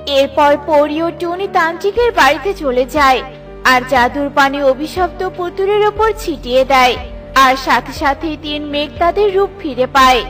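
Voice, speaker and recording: A woman narrates a children's cartoon story in a high voice. Her scripted storytelling runs over light background music. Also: unhurried, a bit bright.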